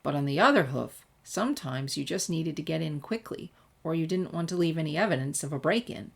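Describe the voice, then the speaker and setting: Low-voiced woman reading a story. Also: animated, a little fast, vocal fry, breathy edge. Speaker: fan fiction reader. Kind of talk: expressive scripted story narration.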